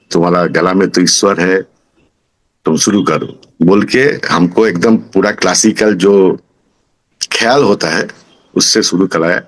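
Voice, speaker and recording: A man in his sixties from Kolkata with a Bengali accent, giving an informal testimonial as a student, halting as he searches for words. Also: sits low, rough recording. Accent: Bengali-accented Hindi. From Kolkata, India. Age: 60s.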